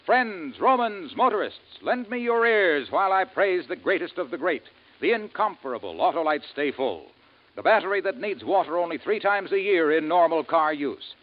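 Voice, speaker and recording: A man's voice: a little high, big pitch swings, moderate pace. A man delivering a 1950s radio commercial as announcer, with grandiloquent, punchy salesmanship. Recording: muffled vintage radio recording.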